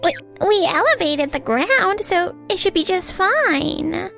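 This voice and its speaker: A young female office heroine, voiced by a woman in a romcom manga dub. Her voice is very high and swings dramatically, emotional and theatrical over a music bed. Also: sing-song, slow, vocal fry, a bit bright, exaggerated voice. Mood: flustered, apologetic. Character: young businesswoman in a melodramatic romcom. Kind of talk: scripted manga dub acting.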